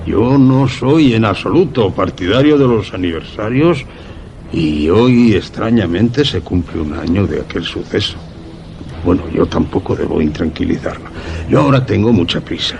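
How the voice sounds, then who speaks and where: A village shopkeeper in a radio suspense play, speaking with a gravelly edge. His pitch moves around a lot as he gives halting, reluctant warnings. There is faint noise behind him. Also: expressive, a little mellow. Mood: wary, cautioning. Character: rural shopkeeper with a van, reluctant and wary. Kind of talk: radio theatre acting.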